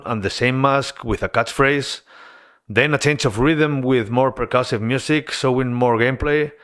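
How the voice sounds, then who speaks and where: Guy talking thru his devlog, mid voice, chill and earnest, quiet music under it. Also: medium pitch. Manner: earnest, relaxed storytelling with casual asides. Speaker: indie game developer. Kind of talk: narrated devlog voice-over.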